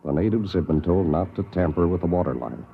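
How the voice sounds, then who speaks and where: An actor in a 1950s radio drama playing a cautious, principled anthropologist, earnest and reasonable. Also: male voice, low voice, expressive, brisk, gravelly, a little hiss or hum. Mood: matter-of-fact. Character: cautious, principled male anthropologist on alien outpost. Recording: muffled old radio recording.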